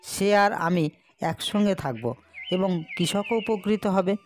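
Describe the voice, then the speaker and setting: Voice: medium pitch, slow, slight background noise, natural voice. An eager young cartoon villager, voiced by an actor. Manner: eager, polite. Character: eager young male villager, helpful friend. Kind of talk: cartoon voice acting.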